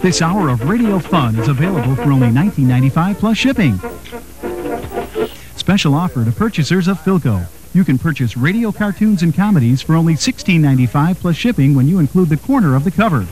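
A man's voice: on the high side, very animated, a little fast, poor audio. A man reading scripted promotional narration as an announcer. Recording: loud music under the voice.

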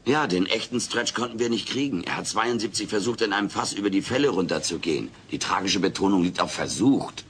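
A man's voice: lowish voice, a little fast, few pauses, a little hiss or hum, natural voice. A man voicing a brash, wisecracking furry alien in a sitcom audio play, theatrical, pompous and cheeky. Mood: mock grand. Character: brash wisecracking furry male alien playing hotel host.

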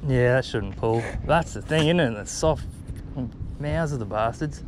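An Australian man chatting excitedly and casually in slang with a friend while out on a small fishing boat. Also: medium pitch, unhurried. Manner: laid-back slang, often laughing and exclaiming. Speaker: fisherman filming a fishing vlog. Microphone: action camera worn on the body. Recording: outdoor boat noise, engine and water.

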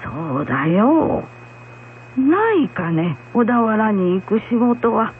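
Frank, caring old village woman played by an actress, slow and melodic, for radio drama; dull hissy recording. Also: on the high side, sing-song. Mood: coaxing. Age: older. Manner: unhurried, gently admonishing. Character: kindly, frank old blind woman acupuncturist. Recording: muffled radio recording with hum, faint noise.

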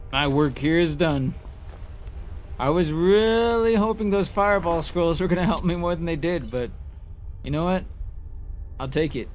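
A man giving casual, informal and sweary commentary as he plays a fantasy video game. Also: fairly high pitch. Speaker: gaming video creator. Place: indoor room, webcam gaming setup. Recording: noisy, game sounds behind voice.

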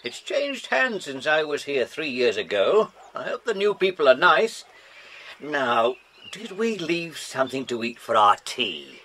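An avuncular English gentleman in a children's radio play. He speaks slowly, with a lilting, animated rise and fall, in a genteel manner. There is faint background ambience. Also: on the high side, a little hiss or hum, exaggerated voice. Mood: genial. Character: kindly absent-minded middle-aged man, teacher leading children camping. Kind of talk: children's audio drama acting.

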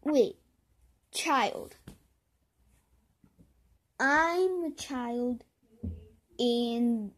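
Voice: medium pitch, extremely slow, long pauses. A child hosting a casual video, trying out and showing a phone app. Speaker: video host.

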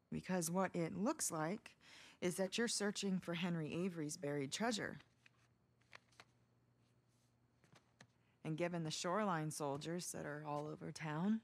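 An actress playing a sharp, hurt, no-nonsense American woman in her thirties, in cinematic video game drama. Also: lowish voice, normal speed. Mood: cool, sardonic. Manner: pointed, controlled, sardonic. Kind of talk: naturalistic cinematic game dialogue. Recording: music under the dialogue.

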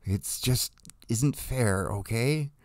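A man's voice: medium pitch, slow pace, darkish tone, dry recording, lightly acted. A man voicing a smug, condescending chess player with a patronizing, needling manner. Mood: irritated, dismissive.

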